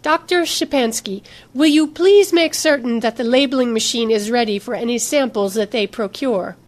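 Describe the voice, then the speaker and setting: A woman playing a smooth, cautious, authoritative female research doctor in an audiobook, speaking with polite formality. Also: a little high, moderate pace, lightly acted. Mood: crisp, dismissive.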